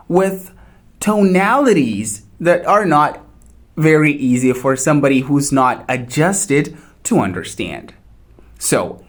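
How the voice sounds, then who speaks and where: This native Portuguese speaker gives an English lesson at an unhurried, careful pace. The pitch rises and falls expressively. Each point is spoken straight to the listener. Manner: slow, deliberate, addresses viewer directly. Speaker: English teacher. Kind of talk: instructional talk to learners.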